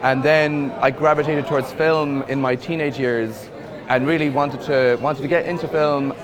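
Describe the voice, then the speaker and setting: A man's voice: mid-range voice, steady tone, moderate pace. Irish man, reflective, busy crowd behind. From Ireland. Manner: thoughtful, repeats words while searching for phrasing. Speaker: film director. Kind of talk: festival interview.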